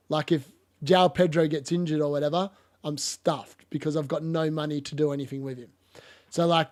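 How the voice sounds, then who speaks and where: A man's voice: on the high side, a little mellow. A man hosting a casual football podcast, rambling and laughing often, still getting over a cough. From Australia. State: lingering cough. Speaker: podcast host.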